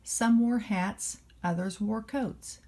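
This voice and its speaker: A woman with a soft-edged, mellow tone and middle pitch reads a children's story aloud. She narrates in a measured, expressive way, as a nanny would to a little one. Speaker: storybook reader playing a nanny.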